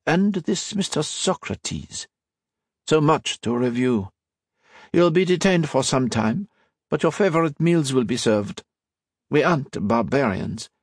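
A man reading as a polite, smoothly menacing French intelligence agent, in a raised voice. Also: fairly high pitch, animated, unhurried, no room sound, clean studio sound, voice pushed up.

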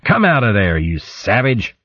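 A man voicing a bold, sturdy mouse chieftain in a storybook, speaking briskly and with command. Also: fairly low pitch, very animated, dull audio, heavily acted. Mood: stern, taunting. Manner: brisk, commanding, gruff banter. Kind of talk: audiobook character voice.

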